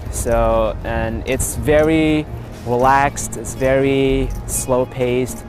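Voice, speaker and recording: A young man from Indonesia, a native Sundanese speaker, talks at an ordinary middle pitch. His story comes out unhurried and easygoing, with pauses on "uh" and "um". Clear music plays behind him. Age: 20s. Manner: relaxed, frequent filler pauses. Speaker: interviewee. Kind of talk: casual personal storytelling.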